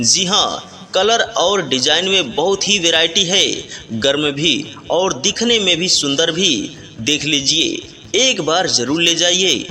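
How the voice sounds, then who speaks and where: A man with a very bright, forceful, fairly high voice delivers a scripted street sales announcement. He urges buyers in a plain, repetitive pitch, with music playing behind him. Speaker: street loudspeaker announcer for a winter clothing seller.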